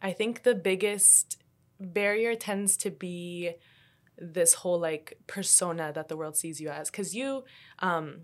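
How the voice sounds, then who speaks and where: A young woman from Colombia hosting a casual podcast conversation, speaking in a thoughtful, measured way and often adding "you know". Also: fairly low pitch. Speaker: podcast host.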